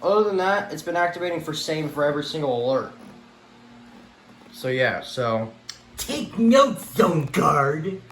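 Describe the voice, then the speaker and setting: A man's voice: on the high side, big pitch swings, measured pace, fairly bright tone. Male vlogger, casual and unscripted, mildly exasperated rambler. Roomy echo, slight background noise. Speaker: vlogger showing a weather radio collection. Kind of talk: casual unscripted show-and-tell. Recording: echoey room with faint background noise.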